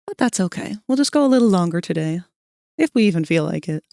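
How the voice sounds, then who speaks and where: A woman's voice: fairly low pitch, expressive, fast talker, vocal fry, mellow, dry recording. A woman streamer chatting casually with her viewers while sketching, her voice a little scratchy, greeting people by name and laughing often. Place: cold room at home.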